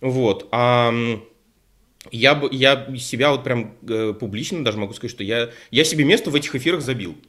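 A man's voice: mid-range voice, moderate pace, a bit bright. A man co-hosting an online show, chatting casually and rambling with many fillers and restarts over a call microphone. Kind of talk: casual panel discussion.

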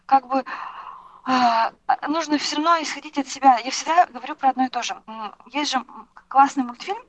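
Female panelist, slightly high pitch, conversational and reflective, halting phrasing with emphatic repeats. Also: no echo.

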